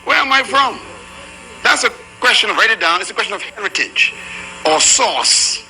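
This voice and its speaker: A man's voice: sits high, expressive, moderate pace, bright, forceful tone, a little hiss or hum, poor audio. A man preaching a sermon to a room full of people, emphatic and rhythmic. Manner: emphatic, preaching to a live crowd. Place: large room with an audience.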